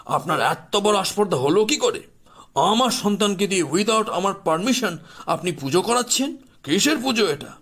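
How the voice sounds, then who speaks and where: High, husky, indignant father, played by a man. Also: lively intonation, fairly bright tone, full character voice. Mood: furious, threatening. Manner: indignant, demanding, rapid questioning. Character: angry, domineering rich father, threatening and indignant. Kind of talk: audio horror story acting.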